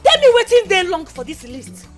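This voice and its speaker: A woman playing a quick-tempered madam, scolding in Nigerian Pidgin with a Nigerian accent and laughing asides. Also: very high voice, big pitch swings, soft background music. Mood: threatening. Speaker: employer scolding her house help. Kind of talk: comedy skit acting.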